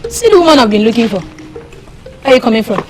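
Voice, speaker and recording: An actress playing a concerned, inquisitive village friend with a Nigerian accent in a film drama. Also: female voice, on the high side, expressive, fairly quick, a little mellow, soft background music, lightly acted. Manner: probing, questioning.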